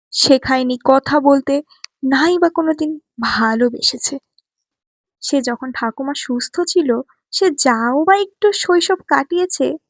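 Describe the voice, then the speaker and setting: A woman's voice: high-pitched, no room sound. A woman reads a scripted audio story as a dramatic narrator.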